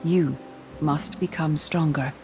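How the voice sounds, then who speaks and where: A woman playing a solemn, wise Jedi master in a video game, speaking in a measured, instructive manner. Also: low-pitched, soft background music. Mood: earnest, instructive. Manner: measured, grave, calmly instructive. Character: solemn, wise female Jedi master, battle-scarred mentor. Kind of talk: dramatic video game voice acting.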